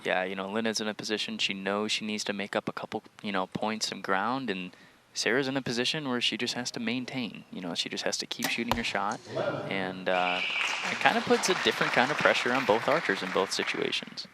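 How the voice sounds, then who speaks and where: A man giving live sports commentary, explaining technique in a relaxed, analytical way. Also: fairly low pitch, fairly quick, crowd noise, background noise.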